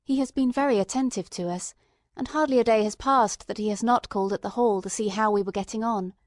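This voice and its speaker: A woman reading literary narration for an audiobook in a measured, expressive storytelling manner. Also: mid-range voice, no room sound, clean studio sound.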